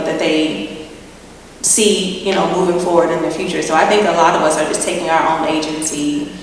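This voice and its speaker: Young woman in a reverberant hall. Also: lowish voice. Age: young adult. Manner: earnest, steady, frequent ums. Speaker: panel artist. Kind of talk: panel discussion answer. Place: large gallery hall with audience. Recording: echoey hall, distant mic, faint noise.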